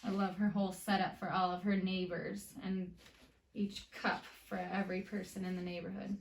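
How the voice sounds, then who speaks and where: A woman reading a picture book aloud to children, expressively and with deliberate emphasis. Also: mid-range voice, flat delivery, vocal fry. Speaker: children's read-aloud teacher. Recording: roomy, echoey indoor sound.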